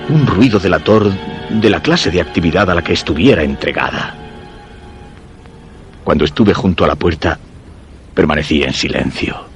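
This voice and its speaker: An actor with a Castilian Spanish accent playing a weak, fearful husband who narrates a suspense radio drama in a measured, solemn way. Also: male voice, low-pitched. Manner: measured, literary, solemn storytelling. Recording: voice over background music.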